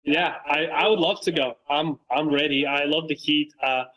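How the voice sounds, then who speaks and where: A man's voice: fairly high pitch, steady tone, moderate pace, pushed and bright, rough recording. A young man from Romania, a native Romanian speaker, talking as a guest in a casual interview in an earnest, reflective way with plenty of fillers and restarts. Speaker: guest, football creator and coach. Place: lives in Massachusetts.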